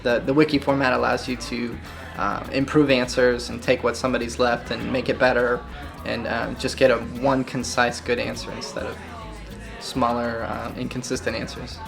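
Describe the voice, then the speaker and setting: A man's voice: medium pitch, little pitch movement, normal speed, vocal fry, music in the background. A man from Chicago answering interview questions, hesitant with many ums and uhs. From Chicago, Illinois. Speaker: site volunteer.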